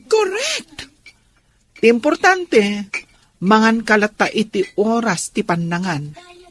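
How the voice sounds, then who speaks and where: A woman playing a caring, practical friend in a radio drama, expressive and deliberate. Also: fairly high pitch, full character voice. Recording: noisy recording with background ambience.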